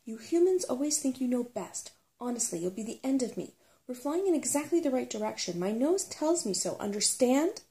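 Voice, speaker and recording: A woman's voice: on the high side, sing-song, a little fast, mellow, pitched above the performer's own voice. A woman reading the part of a crabby, bossy, sarcastic female brownie in a storybook. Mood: cross, indignant.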